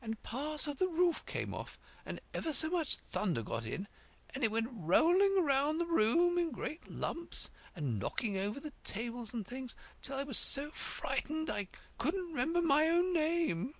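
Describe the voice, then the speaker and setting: A storybook reader voicing a gentle, muddled queen who rambles nervously. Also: high-pitched, sing-song, measured pace, vocal fry, no echo, pitched above the performer's own voice, full character voice. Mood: anxious.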